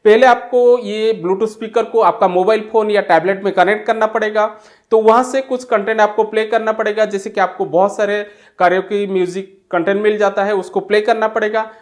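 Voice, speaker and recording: A woman's voice: mid-range voice, fairly even intonation, moderate pace, darkish tone. A woman with an Indian accent hosting a tech channel, narrating an unboxing in a casual review style.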